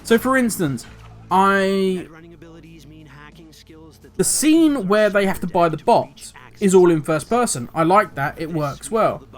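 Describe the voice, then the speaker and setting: A man's voice: sits high, expressive, slow, long pauses, soft background music. An older man hosting a gaming channel, talking through his views in a rambling, self-correcting, somewhat cynical way. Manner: cynical, grumbling. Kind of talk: casual commentary video.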